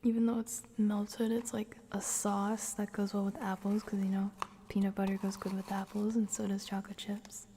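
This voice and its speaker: A woman hosting an ASMR livestream, chatting casually and playfully to viewers in a soft, breathy whisper and murmur. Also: medium pitch, flat delivery, normal speed, slight background noise.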